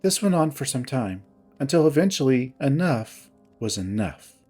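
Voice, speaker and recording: A man's voice: mid-range voice, animated, moderate pace, mellow, soft background music, lightly acted. A man voicing a stern, wounded young female animal character in a story, speaking in a curt, formal, accusing manner. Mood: curt, reproachful.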